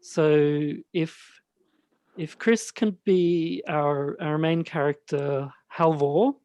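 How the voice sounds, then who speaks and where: A man with a high, light voice hosting a casual podcast and directing, speaking in a hesitant, halting way. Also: steady tone, a little mellow, no echo. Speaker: host and radio play director.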